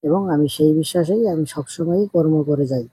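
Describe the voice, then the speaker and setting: Very dark, husky poor farmer played by a man. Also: fairly high pitch, normal speed, dry recording. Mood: earnest, hopeful. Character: poor, kind-hearted, hardworking village farmer husband. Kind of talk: amateur cartoon story dubbing.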